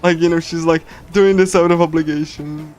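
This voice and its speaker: Halting, higher-voiced man streaming a game. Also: steady tone, a little mellow. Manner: halting, repetitive, giggly. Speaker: livestreamer reading a visual novel. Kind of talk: casual game readthrough with translation and commentary. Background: game music.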